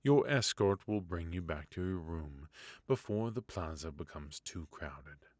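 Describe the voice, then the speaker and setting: Haughty, indulgent royal tutor for fantasy ASMR , played by a man: male, deep, unhurried, formal and quietly bitter. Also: lively intonation, dry recording. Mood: composed, indulgent. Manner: formal, measured, wry, with musing hums and soft chuckles. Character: forgotten adult male royal mentor, resentful, haughty yet indulgent. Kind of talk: intimate ASMR fantasy roleplay.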